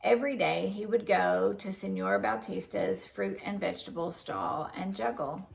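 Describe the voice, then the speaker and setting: A woman narrates a children's storybook aloud. Her voice has heavy vocal fry, and her pitch moves expressively through the sentences at a middle register. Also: expressive, normal speed, low-quality recording. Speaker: storybook reader.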